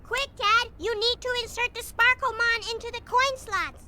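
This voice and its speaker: A woman's voice: extremely high pitch, normal speed, pushed and bright, full character voice. A woman voicing a smart, earnest young girl in a cartoon game.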